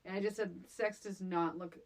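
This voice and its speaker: A woman's voice: mid-range voice, steady tone, normal speed. A woman co-hosting a podcast, recapping a film in casual, sarcastic, comedic chat. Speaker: podcast co-host.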